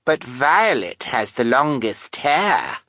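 A man's voice: medium pitch, very animated, slow pace, sharp tone, dry recording, pitched above the performer's own voice, exaggerated voice. A man voicing a spiteful, bratty little schoolgirl in an audiobook story. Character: bratty, self-centred little schoolgirl, Carmelita.

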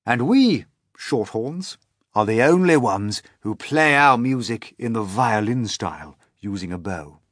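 A man's voice: medium pitch, expressive, measured pace, dry recording, clean studio sound. A man doing a proud old grasshopper. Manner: kindly, lecturing, fond of his own skill. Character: old gentle male grasshopper, proud and learned.

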